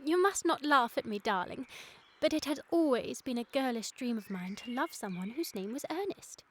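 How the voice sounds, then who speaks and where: A woman's voice: a little high, lively intonation, moderate pace, a bit bright. A British woman playing a sweet, dreamy young lady in a comic period radio play, speaking in a prim, precise way. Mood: dreamy, coquettish.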